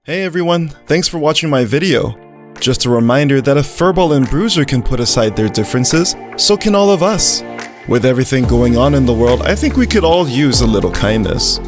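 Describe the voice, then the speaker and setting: A man's voice: mid-range voice, animated, a little fast. Man giving a video outro over music. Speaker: video creator. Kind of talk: scripted outro addressed to viewers.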